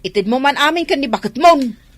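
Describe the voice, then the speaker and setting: A woman's voice: high voice, animated, brisk, no echo. A woman playing a nagging mother with a gambling habit who demands money, in Ilocano audio drama style. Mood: demanding.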